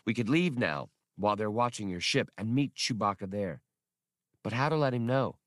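A man's voice: lowish voice, lively intonation, normal speed, a bit bright, dry recording, clean studio sound. A man voicing an earnest, worried professional woman in an audiobook, speaking in a proper, questioning way. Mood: thoughtful. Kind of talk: audiobook character dialogue.

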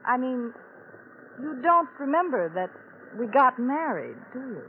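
A woman's voice: sits high, sing-song, measured pace, exaggerated voice. A woman playing a shrewd, determined new bride in vintage radio drama, with an arch, pointed, theatrical delivery. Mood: coolly triumphant. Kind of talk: 1940s-50s radio drama acting. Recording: phone-like vintage radio, faint noise.

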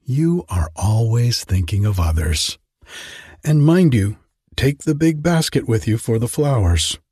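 A man reading aloud and voicing the miller's wife, slow and fawning. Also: medium pitch, big pitch swings, mellow, slightly rough, no echo, clean studio sound. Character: the miller's admiring wife, a woman.

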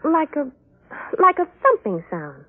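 An actress playing a sweet, trusting, easily frightened wife in a classic radio drama, with a fluttery manner. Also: female voice, high voice, huge pitch swings, a little slow, no room sound, exaggerated voice. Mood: uneasy. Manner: fluttery, gushing, solicitous. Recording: tinny old radio recording.

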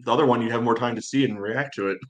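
A man's voice: mid-range voice, racing, a crowd in the background. A man on a sports podcast giving casual, matter-of-fact analysis and readily pushing back on points.

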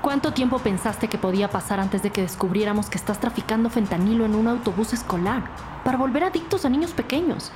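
A woman's voice: on the high side, expressive, fast, natural voice. Blunt, confrontational female detective played by an actress. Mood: accusing. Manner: sardonic, accusing. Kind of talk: crime audio drama acting. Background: music bed.

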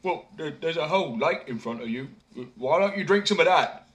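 A man's voice: a little high, animated, moderate pace, pitched above the performer's own voice. A man doing an eager young simpleton. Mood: calling out. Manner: loud, calling out, eager.

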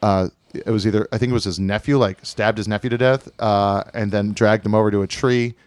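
A man's voice: on the low side, fairly even intonation, fairly quick, no echo. A man from Hawaii telling a family story in casual chat, rambling with many fillers and restarts.

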